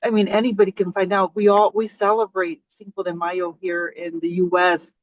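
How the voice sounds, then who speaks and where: A woman's voice: on the low side, level tone, normal speed, no room sound, rough recording. Chatty woman host, dry close sound. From Mexican-American household. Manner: chatty, hesitant, with many fillers. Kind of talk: casual interview.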